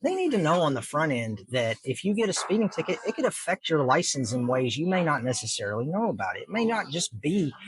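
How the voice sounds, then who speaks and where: A man's voice: a little high, sing-song, a little fast, few pauses, slight background noise, poor audio. A middle-aged man, a judge answering interview questions earnestly and patiently in plain terms, with lots of fillers. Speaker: district judge, guest.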